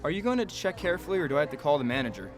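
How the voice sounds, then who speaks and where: A man's voice: on the high side, very animated, very fast, music in the background. An actor playing a wistful, proud middle-aged father in a radio drama, articulate, wry and formal. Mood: indignant. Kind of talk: radio drama acting, letter narration.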